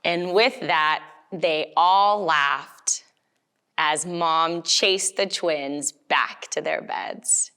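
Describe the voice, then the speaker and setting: A woman reading a picture book aloud to young children, gently and with little questions to them as she goes. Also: deep voice, extremely slow, clear, resonant tone. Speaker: storytime reader.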